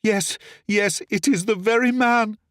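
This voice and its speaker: A scheming noblewoman in a storybook reading, her voice raised high and pleading, as a man puts her on. She is theatrical and falsely grieving, and the recording is close and dry with no room sound. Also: measured pace, dry recording. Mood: outraged. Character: scheming noblewoman, feigning grief.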